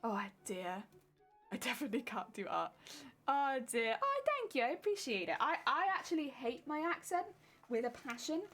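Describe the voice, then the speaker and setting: A woman's voice: sits high, sing-song, normal speed, fairly bright tone. Casual streamer, young woman, southern English accent, chatty and self-deprecating, faint music bed. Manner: chatty and self-mocking, laughs often. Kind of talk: casual chat with live viewers while sewing a cosplay.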